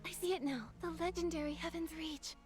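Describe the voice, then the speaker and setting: An actress playing a gentle, earnest young heroine in soft, wondering fantasy game dialogue. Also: female voice, extremely high pitch, fairly quick, faint music behind. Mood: awed.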